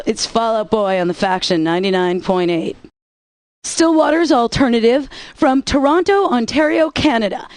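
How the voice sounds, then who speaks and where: A woman's voice: on the high side, dry recording. A woman radio DJ with sarcastic, wisecracking scripted patter, recorded close on a studio mic.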